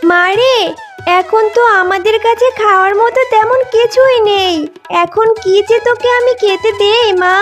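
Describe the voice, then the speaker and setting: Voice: very high-pitched, moderate pace, fairly bright tone, faint music behind. A performer voicing a tender, worried mother fox in a children's cartoon, speaking in a soothing, protective, motherly way.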